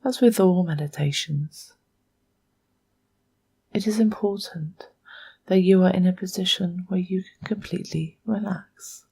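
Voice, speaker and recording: Very slow, breathy woman guiding meditation. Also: fairly low pitch, no room sound, low-quality recording. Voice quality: soft, breathy, whispery. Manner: hushed, measured, with long pauses between short phrases. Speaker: guided meditation host. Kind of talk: slow guided meditation narration.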